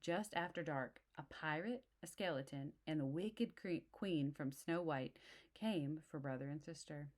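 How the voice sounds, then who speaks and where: A woman's voice: sits low, measured pace. A woman reading a storybook aloud expressively for young children, adding simple explanatory asides. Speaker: storybook reader.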